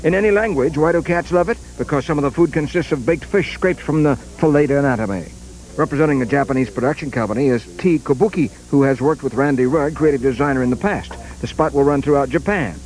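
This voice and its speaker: A man's voice: fairly high pitch, quick pace, darkish tone, rough recording. A man delivering a scripted local television news report as the reporter.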